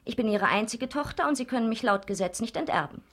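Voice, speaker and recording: Independent rich daughter, fairly high voice, proud, cool, pointed, radio drama. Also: female voice, lively intonation, quick pace, fairly bright tone, slight background noise. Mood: determined. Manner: self-assured, pointed.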